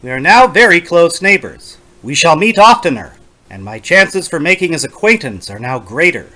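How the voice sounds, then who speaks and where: A man's voice: on the high side, big pitch swings, normal speed, sharp tone, poor audio. A man playing a lonely, ailing bachelor professor who narrates an old horror story in a formal, precise, brooding way. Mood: intrigued, irked. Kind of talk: dramatic reading of a 1930s horror story.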